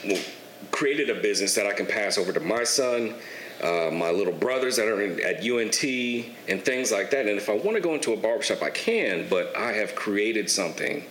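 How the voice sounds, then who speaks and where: A young man in his twenties, guesting on a podcast, tells his story in a relaxed, candid way and swears casually. His voice sits fairly low. The room is echoey, with a faint hiss underneath. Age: 20s. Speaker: guest, barber and clothing entrepreneur.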